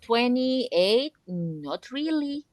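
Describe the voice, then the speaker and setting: Brief: woman English teacher, slow and patient, repeats words, dry close sound. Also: on the high side, sing-song, darkish tone, no room sound. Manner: asks students direct questions. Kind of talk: online English class.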